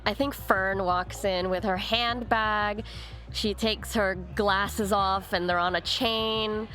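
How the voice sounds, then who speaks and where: A woman's voice: medium pitch, fairly even intonation, takes it slowly, pushed and bright, soft background music. A woman player improvising narration for her tabletop game character in a casual, slightly hesitant way.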